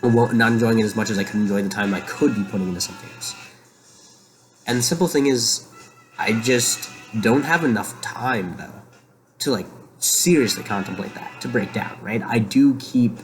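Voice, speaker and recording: A young man hosting a rambling solo monologue from a room in a shared house, talking quietly and musing aloud with lots of fillers. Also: fairly low pitch, normal speed. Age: young adult. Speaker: podcast host. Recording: quiet home recording with music behind. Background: faint music playing.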